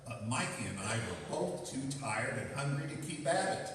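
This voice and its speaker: A man's voice: mid-range voice, very animated, normal speed, a bit bright. An actor playing a stubborn, weary, self-important older man in a stage radio play. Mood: weary, grumbling. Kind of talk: stage radio theatre acting. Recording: echoey hall from far off, faint noise.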